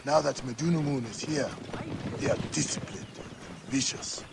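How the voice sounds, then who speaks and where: A man's voice: mid-range voice, animated, moderate pace, gravelly. An actor playing a weary, loyal village man who is an old friend of a warrior, in a video game drama. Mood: grave warning. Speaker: village leader. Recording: noisy game scene with ambience.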